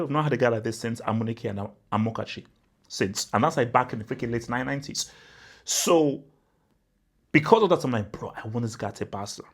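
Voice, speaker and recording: A young man from Nigeria who hosts a football channel, giving casual, emphatic commentary, often saying "bro" and stacking up exaggerations. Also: mid-range voice. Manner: animated and emphatic, repeats "bro", spirals into exaggeration.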